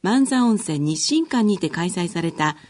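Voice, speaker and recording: Mellow, husky woman radio host. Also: fairly low pitch, animated, unhurried. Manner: polite, formal. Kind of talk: radio presenting and interviewing.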